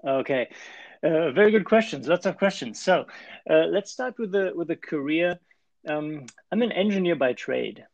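Man, German accent, gravelly tone, dry sound, interview guest explaining step by step. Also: fairly high pitch, animated, moderate pace, no echo, highs cut off. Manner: explanatory, restarts phrases. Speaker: life and performance coach, guest. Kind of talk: podcast interview.

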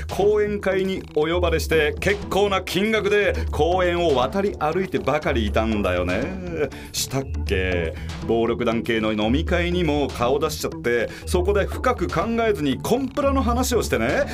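A high-pitched, swooping voice over clear background music. A man acts out a flighty, camp business consultant in a comic radio drama, cutesy and chatty, breezing through everything. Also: close to an everyday voice. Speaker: actor in an audio drama. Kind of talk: comedic radio drama acting.

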